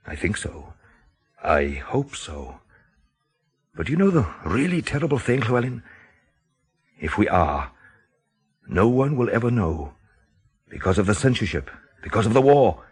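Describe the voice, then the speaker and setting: Grave intense radio play man, bit husky, really emphatic, pitch moves around a lot. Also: a little mellow, full character voice. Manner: emphatic, dramatic, deliberate phrasing with rhetorical questions. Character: grave, intense countryside man expounding a dreadful theory. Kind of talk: radio drama acting.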